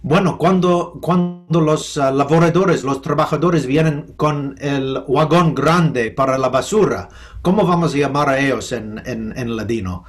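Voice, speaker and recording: A man who grew up speaking English, with an American accent, speaking slowly and thoughtfully as a professor giving an interview, pausing often and restarting his sentences. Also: medium pitch, soft background music. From United States. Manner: halting, thoughtful, with many restarts and fillers. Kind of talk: remote interview.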